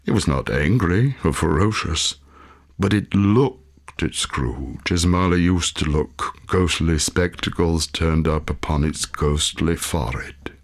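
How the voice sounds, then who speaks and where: Very deep, slow-reading male storyteller. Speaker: audiobook reader, actor. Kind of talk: dramatic storytelling, scripted narration of a classic tale.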